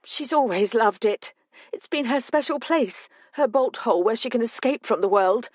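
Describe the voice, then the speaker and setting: A woman voicing an older mother who is frightened and tearful, talking in a tremulous, rushed outpouring. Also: on the high side, darkish tone, no room sound, studio quality, voice pushed up, close to an everyday voice. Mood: distraught.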